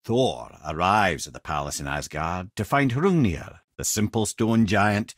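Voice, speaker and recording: Game role: old Scottish male sage, clever and wordy, husky timbre, lively swooping delivery, theatrical storytelling. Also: low-pitched, a little slow, dry recording, studio quality. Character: clever old severed-head sage, wry, garrulous storyteller. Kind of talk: video game character dialogue and storytelling.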